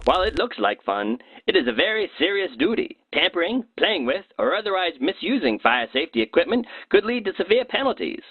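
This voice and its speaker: A man presents with a high, wildly animated delivery and a mock-serious comic edge. His voice has some rasp, and the recording is dry with a thin, phone-like band. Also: a little fast, hardly any pauses, no echo. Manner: tongue-in-cheek, mock-serious. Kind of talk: comedic scripted narration for a safety film. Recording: muffled, phone-like narrow band.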